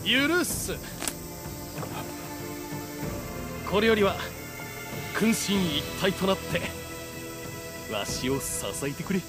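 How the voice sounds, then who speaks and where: An actor playing a shrewd, exuberant warlord in a samurai video game, with rustic old-fashioned speech. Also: male voice, high voice, very animated, slow, music in the background, heavily acted. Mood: stern, commanding. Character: shrewd, exuberant warlord becoming ruler of the realm.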